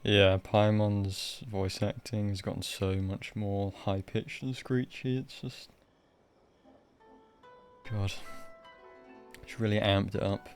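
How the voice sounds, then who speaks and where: A man streams a game with casual, off-the-cuff commentary. His voice is fairly low, and his manner is blunt and sarcastic. Soft music sits behind him. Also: flat delivery, slow pace, pauses often. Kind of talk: casual live reaction commentary.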